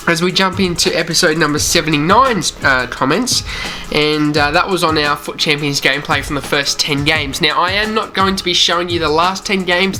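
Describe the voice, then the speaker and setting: A man's voice: high-pitched, pushed and bright. A man from Australia with a mild Australian accent, hosting a gaming channel and chatting loosely over gameplay with lots of fillers. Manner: chatty and rambling, with many "you know" fillers. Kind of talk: casual commentary over gameplay.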